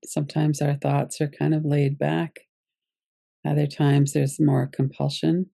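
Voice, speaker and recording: A woman guiding a yoga nidra meditation as its teacher, giving gentle, unhurried instructions with pauses. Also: low-pitched, flat delivery, gravelly, dry recording, clean studio sound.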